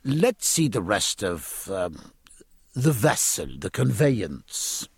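Learned gentleman landsman performed by a male narrator, formal, curious, precise, animated pitch with a slightly husky grain. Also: slow, no echo, full character voice. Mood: mild, hesitant. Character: inquisitive, learned gentleman, a puzzled landsman aboard ship. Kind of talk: audiobook character acting.

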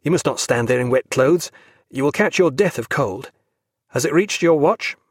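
A man's voice: medium pitch, expressive, normal speed, slightly rough, no room sound. A man voicing a stiff, remote naval officer who speaks in a curt, formal way, in a dry recording. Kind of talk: audiobook character voice.

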